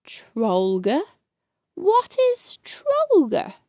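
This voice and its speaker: An eerie little male goblin from a children's storybook, acted by a woman, pitched very high. He is suspicious and challenging, questioning in a drawn-out way. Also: huge pitch swings, darkish tone, no echo, clean studio sound, exaggerated voice. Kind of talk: children's storybook character reading.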